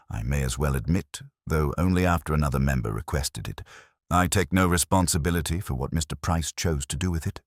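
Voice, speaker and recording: A man's voice: rumbling low, no room sound, studio quality, full character voice. A man voicing a prim, self-important female club treasurer in a mystery story, formal and defensive.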